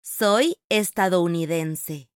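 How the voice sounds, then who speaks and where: A woman reading model sentences for learners with slow, careful enunciation. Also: fairly low pitch, clear, resonant tone, no echo, clean studio sound. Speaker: native speaker model in a language course.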